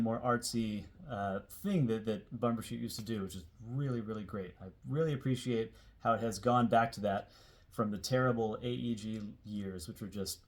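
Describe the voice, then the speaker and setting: American guy in his 40s, chatty storytime vibe, informal and kinda rambly, punches certain words. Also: mid-range voice. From Seattle area, United States. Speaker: host telling a personal story. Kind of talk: casual storytelling to camera.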